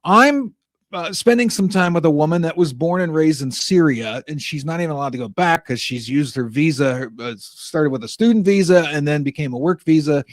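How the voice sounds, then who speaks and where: Host for a talk show: young man, slightly higher voice, rambling and exasperated, dry close sound. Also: a little fast, dry recording. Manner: rambling, exasperated, frequent restarts. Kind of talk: political commentary monologue.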